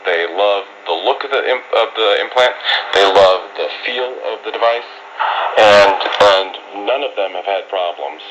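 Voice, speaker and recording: A man speaking in a halting, repetitive way as a surgeon in an unscripted interview. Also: fairly low pitch. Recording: muffled, noisy shortwave radio.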